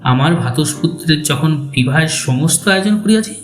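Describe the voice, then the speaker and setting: A man's voice: a little high, expressive, brisk, natural voice. An actor playing a bitter, vengeful older father who tells his tale with sardonic deliberation in a dramatic audio story. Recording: echoey voice over background music.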